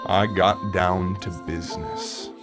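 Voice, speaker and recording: Slow, wistful father telling his story, acted by a man. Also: deep voice, steady tone. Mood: dreamy, slow. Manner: conversational, reflective, with dramatic pauses. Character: wistful father recounting a dark memory to his child. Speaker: narrator of an audio play. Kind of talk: first-person dramatic monologue, storytelling. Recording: music under the voice.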